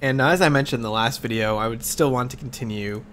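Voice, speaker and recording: A man's voice: medium pitch, fast, soft background music. A man with a cold and a congested voice, hosting casual let's play commentary and thinking aloud as he plays. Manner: relaxed, thinking aloud with fillers.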